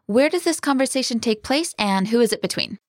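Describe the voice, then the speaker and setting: A woman hosts a language lesson in an upbeat, teacherly way. Her voice sits a little high and moves with lots of melody. The sound is dry and close, straight from a studio mic. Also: expressive, a little fast, no room sound. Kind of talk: scripted lesson dialogue.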